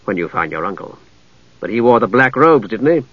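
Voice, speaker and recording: A man voicing a proud, impatient knight with a curt, gruff manner in a fantasy audiobook. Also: on the low side, sing-song, a little fast, dry recording, narrow, tinny audio, voice pushed down. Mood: challenging.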